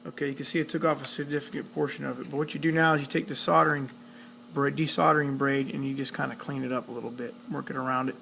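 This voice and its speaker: A man's voice: mid-range voice, steady tone, quick pace, vocal fry, background noise. A man giving a casual hands-on tutorial, talking plainly step by step as he works at a repair task. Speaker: electronics hobbyist demonstrating a repair.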